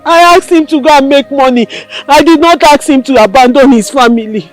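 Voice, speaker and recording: A woman plays an abandoned young mother, crying as she pleads in a very high voice. Soft music plays beneath. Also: brisk, natural voice. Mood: heartbroken. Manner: tearful, pleading questions.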